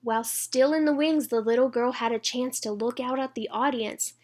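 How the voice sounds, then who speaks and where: High-voiced woman reading a storybook. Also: moderate pace. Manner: engages young listeners with questions. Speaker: children's read-aloud reader. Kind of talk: expressive storybook read-aloud.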